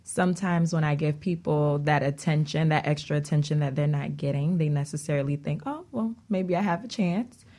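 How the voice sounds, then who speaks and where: A woman's voice: deep voice, moderate pace, warm tone. A woman speaking casually and candidly as a guest on a talk show. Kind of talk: casual conversation.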